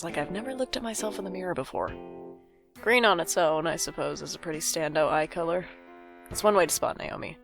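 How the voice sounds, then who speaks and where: A woman's voice: on the low side, gravelly. A woman playing an anxious, sardonic older sibling in an audio drama, with a dry, wry, self-deprecating way of talking. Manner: dry, wry, theatrical sarcasm. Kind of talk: audio drama acting with first-person narration.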